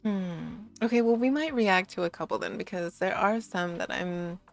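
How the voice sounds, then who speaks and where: A tired woman with a slightly raspy low voice, chatting in a sleepy, giggly, rambling way as a streamer on a late-night stream. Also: normal speed. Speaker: VTuber streamer.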